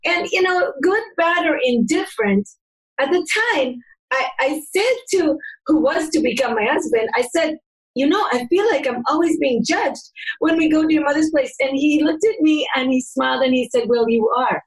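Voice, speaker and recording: An older woman from North America hosting an interview, telling a personal story in an animated, emphatic way. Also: high voice, moderate pace, poor audio. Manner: animated, emphatic, voice rising when excited. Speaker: interview host.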